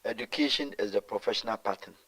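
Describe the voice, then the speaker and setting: A man's voice: on the low side, fast, sharp tone, low-quality recording. A middle-aged Ghanaian man answering in an interview, talking emphatically and mixing in Twi, with stumbles and repeated words. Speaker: guest.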